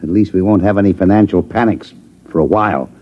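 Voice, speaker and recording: An actor playing a sour, exasperated veteran chief inspector, grumbling and sardonic, in a 1950s radio drama. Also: male voice, deep voice, fast. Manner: grumbling, blustery, sardonic speechifying. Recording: muffled old radio recording.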